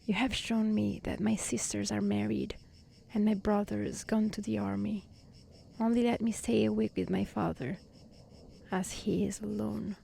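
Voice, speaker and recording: A woman voicing a sincere, tender-hearted young maiden in a soft-spoken, earnest storybook reading. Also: mid-range voice, level tone, normal speed, vocal fry, a little hiss or hum. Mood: tearful pleading. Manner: earnest, pleading, polite.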